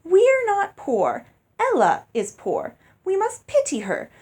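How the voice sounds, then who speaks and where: A woman's voice: very high voice, huge pitch swings, takes it slowly, darkish tone, voice pushed up, exaggerated voice. A woman voicing a snobbish, bossy teenage stepsister in a storybook, haughty and whining.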